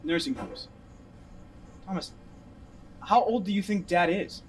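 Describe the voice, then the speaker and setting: An actor with an American accent playing a sardonic, teasing brother in a naturalistic drama. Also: male voice, sits high, unhurried, slight background noise. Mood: subdued, concerned. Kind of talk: naturalistic film dialogue.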